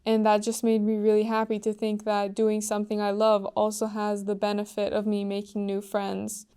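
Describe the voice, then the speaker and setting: A teenage girl from Iran answers interview questions earnestly and softly, often saying "like" and "um". Her pitch stays very flat, and her tone is mellow and smooth. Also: normal speed. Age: teens. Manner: earnest, soft-spoken, with frequent "like" and "um" fillers.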